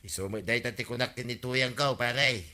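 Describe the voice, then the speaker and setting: A man's voice: mid-range voice, normal speed, bright, forceful tone. An actor playing a chatty, cheeky village man with a Filipino accent, teasing and exclamatory in a radio comedy drama. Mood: grumbling.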